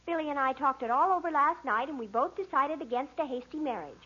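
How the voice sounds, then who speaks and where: A seventeen-year-old American daughter in a vintage radio comedy. Her voice is extremely high, dreamy and melodramatic, earnest to the point of theatre, heard through a muffled old recording. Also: fast talker, bright, forceful tone. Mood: blithe. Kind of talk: 1940s American radio sitcom acting.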